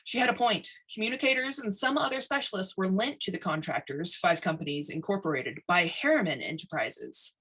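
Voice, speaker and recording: Slightly husky woman reading a story. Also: mid-range voice, brisk, hardly any pauses, a bit bright, low-quality recording. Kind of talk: expressive audiobook-style story narration.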